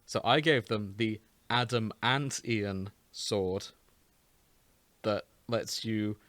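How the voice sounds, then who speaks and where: A man's voice: lowish voice, slow pace, fairly bright tone, dry recording. A British man hosting a podcast, chatting casually in a wry, self-deprecating way. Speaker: podcast host. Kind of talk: casual chat.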